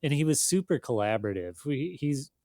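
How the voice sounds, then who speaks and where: Halting, thoughtful man in casual conversation. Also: medium pitch, big pitch swings, darkish tone, no echo, clean studio sound. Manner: thoughtful, halting, hedging, self-deprecating. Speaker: magazine editor, interview guest.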